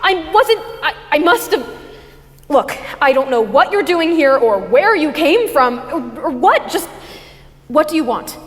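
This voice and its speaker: An actress playing a wary, bewildered but curious girl in a staged musical reading, halting and incredulous. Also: high voice, big pitch swings. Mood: flustered. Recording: live school stage, long reverb, faint music.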